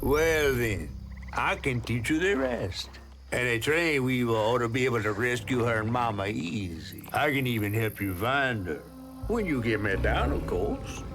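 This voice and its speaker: A man playing a chatty, flattering fish in a video game, with a Southern US accent and flowery, wheedling speech. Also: medium pitch, measured pace. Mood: explaining. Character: folksy, chatty male talking fish, flattering and theatrical. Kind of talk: video game character acting. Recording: music behind the voice.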